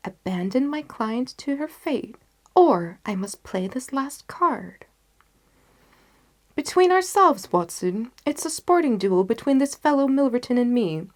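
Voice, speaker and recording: A woman reading the part of a confident, determined gentleman detective in a storybook, with emphatic, articulate delivery. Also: a little high, voice pushed up. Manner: emphatic, articulate, self-assured.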